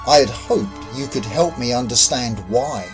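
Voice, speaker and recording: A man voicing a calm, courteous alien visitor with a measured, explanatory manner in a science fiction story. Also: mid-range voice, music in the background, lightly acted. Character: calm otherworldly visitor of indeterminate sex, puzzled, courteous.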